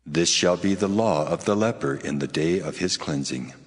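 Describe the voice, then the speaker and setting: Deep, echoing voice of God, played by a man. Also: normal speed. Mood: solemn, commanding. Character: solemn, commanding voice of God. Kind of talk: dramatized audio Bible. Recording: long cavernous reverb.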